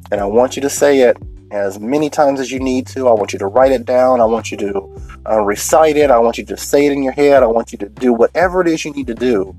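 A deep-voiced man talking alone in a late-night podcast from inside a parked car, sniffling a little as he gets over a cold. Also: darkish tone. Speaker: podcast host.

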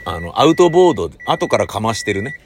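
A man's voice: on the low side, big pitch swings, fast talker, soft background music. A middle-aged man in a casual, rambling solo chat, blunt and slangy, acting out his own reactions as he tells stories. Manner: blunt, slangy, self-deprecating. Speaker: audio drama producer and recordist.

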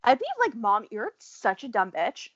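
A woman's voice: mid-range voice, sing-song, normal speed, dry recording, dull audio. A woman from the United States in casual, blunt and profane chat, laughing often. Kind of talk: casual chat about a reality show.

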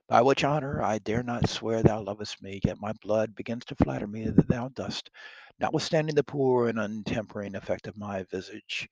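A man's voice: lowish voice, dry recording, muffled recording. A man reading the part of a bold young English king wooing a princess, declaiming the old verse earnestly.

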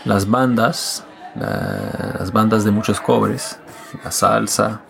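A man from Quebec whose first language is French, speaking as a guest musician in a relaxed interview. His voice sits low and has a gravelly, creaky texture. He speaks in a halting, thoughtful way, pausing on fillers. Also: crowd noise. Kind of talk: casual interview.

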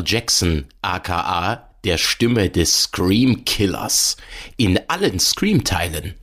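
A man's voice: sits low, a bit bright. A man hosting a podcast, presenting and interviewing in a casual way.

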